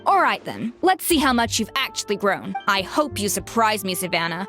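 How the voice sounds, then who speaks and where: A woman's voice: mid-range voice, very animated, moderate pace, fairly bright tone, long reverb tail, soft background music. A woman voicing a proud, exacting young chef in a video game, speaking in a precise, matter-of-fact, lecturing way. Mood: challenging.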